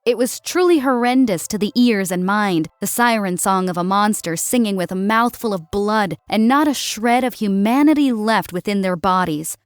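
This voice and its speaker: A woman's voice: mid-range voice, moderate pace, few pauses, dry recording, clean studio sound. A woman narrator reading a scripted, dramatic story as an audiobook.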